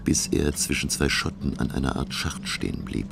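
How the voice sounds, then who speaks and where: Curious scholar-narrator, radio drama. Very deep bass with heavy creak, educated, literary phrasing. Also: male voice, moderate pace, heavy background noise. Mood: reflective narration.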